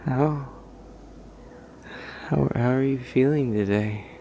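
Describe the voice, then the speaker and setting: An actor playing a badly wounded doctor, dazed and halting, stammering in a breathy, gravelly voice in an audio drama. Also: male voice, medium pitch, crowd noise, rough recording. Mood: dazed, weak. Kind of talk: anime-style audio roleplay acting.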